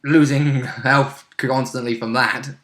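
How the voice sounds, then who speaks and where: A man's voice: medium pitch, steady tone, slow, a bit bright. The chuckle-prone guy on the other chair in an echoey room, casually co-hosting a hobby video about last week's tabletop game. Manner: chuckles often while talking. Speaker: player and co-host. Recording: roomy, echoey sound.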